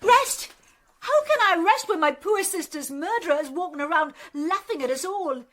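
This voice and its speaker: An actress playing an embittered, devoted elder sister in a radio drama, overwrought and dramatic. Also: female voice, extremely high pitch, animated, normal speed, a bit bright, a little hiss or hum. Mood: anguished, indignant.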